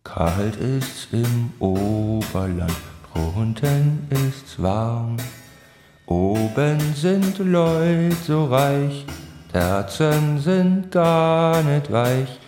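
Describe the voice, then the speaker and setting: A man with a Swabian accent slowly delivering the verses of a folk song. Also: medium pitch, lively intonation, over music. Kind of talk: slow singing or chanting of a folk song. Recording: roomy echo with instrument.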